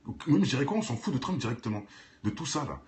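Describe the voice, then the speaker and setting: A man's voice: mid-range voice, very animated, fast talker, a little mellow, a little hiss or hum. A man hosting an informal, off-the-cuff reaction video in an opinionated, argumentative, colloquial way. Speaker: video host, commentator.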